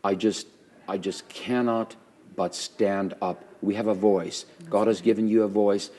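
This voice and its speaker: A man with a very low, loud voice, a pastor well into middle age. He talks with fervent conviction, leaning on his words and repeating them to drive a point home. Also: fairly even intonation, unhurried. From Canada. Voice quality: loud voice by nature. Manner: fervent, emphatic, repeats phrases for stress. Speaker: pastor hosting a conversation. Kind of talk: earnest conversational interview.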